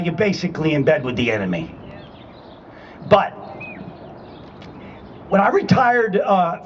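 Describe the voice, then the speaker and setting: A man's voice: on the high side, dull audio, a little hiss or hum. Older New England man at a rally. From Rhode Island and Connecticut, US. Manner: conversational and emphatic, checks in with "okay?". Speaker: rally speaker, retired Army. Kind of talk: public rally speech. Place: public rally at a state capitol.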